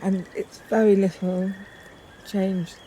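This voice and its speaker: A woman speaking reflectively and unscripted in a documentary, thoughtful and halting, with frequent pauses. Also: medium pitch, little pitch movement, dark tone, a little hiss or hum, low-quality recording. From northern England. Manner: halting, pausing often, thoughtful. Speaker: poet.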